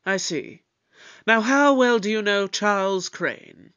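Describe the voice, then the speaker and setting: A man plays a time-travelling detective in a sci-fi audio drama. He is pompous and suspicious, questioning deliberately in a notably high male voice. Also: a bit bright, clean tone. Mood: calm, interrogating.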